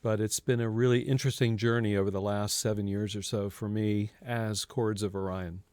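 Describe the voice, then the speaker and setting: Man in his early sixties, very clear voice. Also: lowish voice, level tone, moderate pace, a little mellow, no echo. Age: early 60s. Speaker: podcast guest, ambient guitarist. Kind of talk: casual conversational interview.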